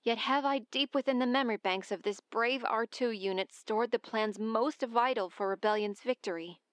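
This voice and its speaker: Young princess played by a woman, mid-pitched, formal, earnest, pleading, Shakespearean drama, dry sound. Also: normal speed, no room sound, lightly acted.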